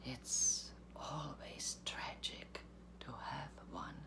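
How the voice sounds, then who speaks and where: A woman giving a literary reading, with deliberate, measured phrasing, long pauses and a breathy, gravelly voice. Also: a bass voice, expressive, whispered. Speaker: artistic director of a theatre, reading aloud.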